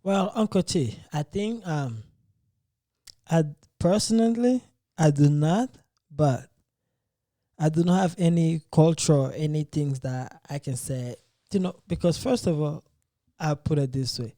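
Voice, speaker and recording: A man talking on a podcast in an earnest, halting way, circling back to restart his thoughts. His voice sits a little high for a man yet sounds mellow and relaxed. The recording is dry and close, with no room sound. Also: no room sound. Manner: earnest, hesitant, restarts phrases. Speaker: podcast host. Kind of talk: casual podcast debate.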